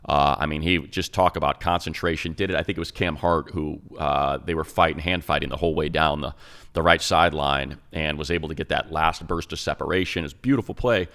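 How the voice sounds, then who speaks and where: A middle-aged man with a very low, gravelly voice breaks down football on a sports podcast. He talks in an emphatic, conversational way and leans on fillers as he builds his points. He sounds like a seasoned radio announcer. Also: fairly even intonation, brisk, a bit bright. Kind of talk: casual sports podcast analysis.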